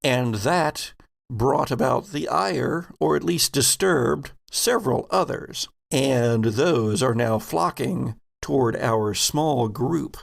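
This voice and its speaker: A man narrates a tabletop game as its game master and host. He takes his time, setting scenes deliberately in a voice with noticeable fry. The sound is dry and free of room echo. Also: medium pitch.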